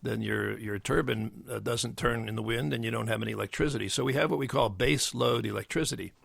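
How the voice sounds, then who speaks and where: An older man with a bass voice, explaining as an interview guest in a halting way with many fillers and restarts. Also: a bit bright, no echo. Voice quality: gravelly bass voice. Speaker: guest, nuclear engineer. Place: dry podcast studio. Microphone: studio mic.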